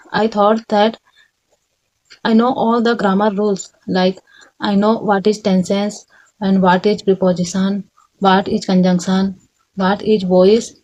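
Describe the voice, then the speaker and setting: A woman's voice: medium pitch, a little mellow, muffled recording. Hesitant Indian woman speaking learner's English. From Chhattisgarh, India. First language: Chhattisgarhi. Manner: halting learner's English, self-corrects, repeats phrases, tags "right?". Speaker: English learner vlogger and tutor. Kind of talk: unscripted personal talk. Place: home in a village.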